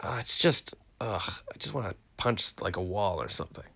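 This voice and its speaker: A man's voice: on the low side, animated, moderate pace, creaky, bright, forceful tone, husky. A congested man chatting casually to viewers on a phone live stream, frustrated and rambling. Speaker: vlogger hosting a live stream. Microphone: phone, live stream from home.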